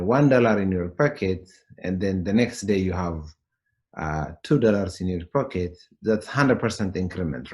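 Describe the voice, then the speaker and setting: Low-voiced Ethiopian-accented man, halting and explanatory. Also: a little mellow. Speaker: interviewee. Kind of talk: unscripted interview answers. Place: remote call.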